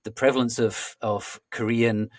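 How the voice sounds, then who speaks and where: A man hosting a podcast, asking long, halting questions with many restarts and explaining with analogies. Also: medium pitch, steady tone, dry recording. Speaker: podcast host. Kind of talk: conversational interviewing.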